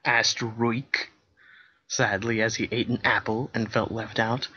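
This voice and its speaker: A man narrating a comic fan-fiction story in a deadpan way, with casual asides, on a slightly dull recording. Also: on the low side, unhurried, a little hiss or hum. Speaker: co-reader, narrator.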